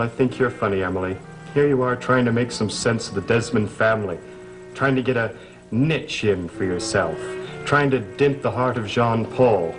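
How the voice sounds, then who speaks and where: Man acting an arch, teasing young gentleman. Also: medium pitch, close to an everyday voice, poor audio. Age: young adult. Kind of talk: gothic soap opera acting.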